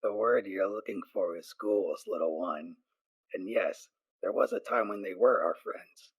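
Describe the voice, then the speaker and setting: A man voicing a weathered female ghoul pony soldier, raspy and gruff but gentle, in an audio drama. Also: fairly low pitch, big pitch swings, measured pace, no echo, heavily acted, poor audio. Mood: wry, kindly. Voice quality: raspy, husky.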